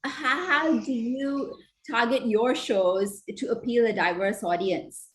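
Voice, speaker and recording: A woman's voice: a little high, slow pace, low-quality recording. Woman interviewer asking long questions. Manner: asks long, winding questions.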